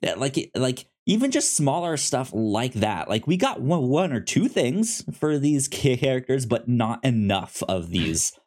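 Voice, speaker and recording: Animated man talking in halting bursts. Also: mid-range voice. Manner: halting, repeats words while thinking. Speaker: podcast co-host reviewing films. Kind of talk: casual film discussion chat.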